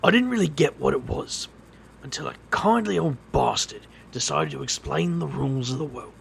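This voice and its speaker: An actor playing a weary, brooding man with a gravelly voice and dry, bitter wit in an audio drama. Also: medium pitch, slight background noise. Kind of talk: audio drama narration and dialogue.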